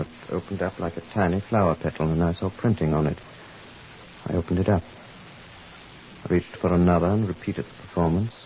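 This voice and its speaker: An actor playing a curt, commanding police superintendent in 1950s radio drama style. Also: male voice, sits low, mellow, lightly acted. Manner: curt, authoritative. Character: gruff, commanding Scotland Yard police superintendent, curt and impatient. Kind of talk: 1950s radio drama acting and first-person narration. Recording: muffled, hissy 1950s radio recording.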